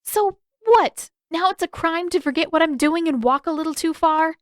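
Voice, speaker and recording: A woman voicing a feisty, defensive teenage girl in an audiobook, huffy, indignant and quick to snap. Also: very high-pitched, no echo, clean studio sound, voice pushed up.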